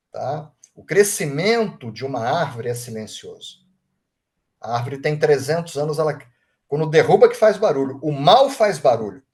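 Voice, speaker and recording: Brazilian guy reading chat comments aloud on a video call, slightly high voice, lots of "right?" tags. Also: husky. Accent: Brazilian Portuguese. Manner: warm, informal, often adds tag questions like "right?". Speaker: Reiki master leading an online study group. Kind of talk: live group session, reading out chat comments.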